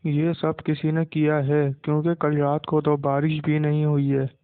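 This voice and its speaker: A man's voice: on the high side, monotone, measured pace, clear, resonant tone, dry recording. A man playing a wronged, worried village boy in a cartoon moral tale, with a plaintive, dismayed manner. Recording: muffled, narrow phone-like sound.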